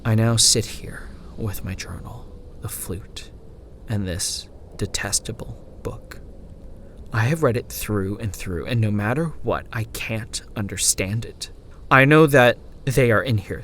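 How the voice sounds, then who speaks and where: A man playing a haunted, dying survivor who gravely narrates his journal in a horror audio drama, deliberate and intense. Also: on the low side, fairly bright tone, slight background noise. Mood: grim, resigned.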